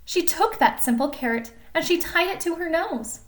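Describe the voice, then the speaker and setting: A woman reading a picture book aloud to young children in an animated way, pausing to explain and ask questions like a teacher. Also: extremely high pitch. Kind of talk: expressive storybook read-aloud with chatty explanations to children.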